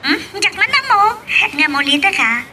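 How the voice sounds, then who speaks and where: A woman's voice: high-pitched, sing-song, normal speed, noisy background. A woman playing a cheerful, teasing young friend in an audio love drama, slightly far from the microphone. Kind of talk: Ilocano radio-style love drama acting.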